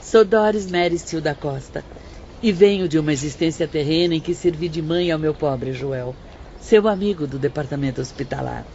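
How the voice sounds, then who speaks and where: A woman's voice: deep voice, moderate pace, a little mellow, a little hiss or hum. A woman playing a wise, dignified motherly spirit in an audiobook drama, speaking with composed, formal courtesy. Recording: dull lo-fi audio, faint music.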